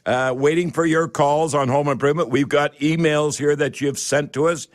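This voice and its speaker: A man's voice: a little high, fairly even intonation, normal speed, no room sound, studio quality. A man hosting a live radio call-in show in a folksy manner, repeating his catchphrases.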